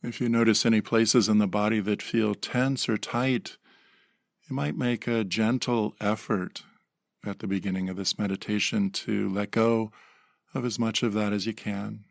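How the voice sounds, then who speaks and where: A man leading a guided meditation in a gentle, unhurried manner with pauses, recorded close to the microphone. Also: fairly low pitch, steady tone, gravelly, no echo. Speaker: meditation teacher.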